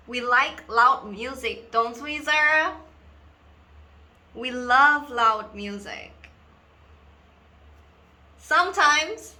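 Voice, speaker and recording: A woman performing a dramatic monologue as a lonely, wistful girl who confides haltingly and theatrically in an invisible friend. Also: medium pitch, sharp tone, exaggerated voice. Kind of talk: dramatic monologue for an acting reel.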